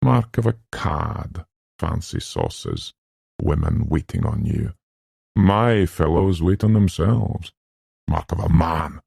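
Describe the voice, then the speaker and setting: Man playing a smug, hearty young schoolmaster, creaky-voiced. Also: a bass voice, big pitch swings, slow, a little mellow, dry recording, studio quality, exaggerated voice. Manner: hearty, pleased with himself, clipped slangy phrases.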